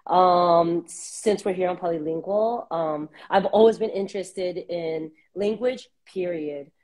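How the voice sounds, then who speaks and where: A woman in her thirties from Southern California, a guest talking reflectively over a video call on earbuds, with many pauses and fillers. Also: fairly low pitch. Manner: warm, reflective, many ums. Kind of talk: casual interview on a video call. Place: small room indoors.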